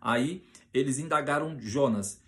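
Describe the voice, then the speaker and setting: A Brazilian man preaching on a Bible passage, often restarting his sentences and slowing down to read scripture aloud with emphasis. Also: mid-range voice. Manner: hesitant with many restarts, reads scripture slowly and loudly.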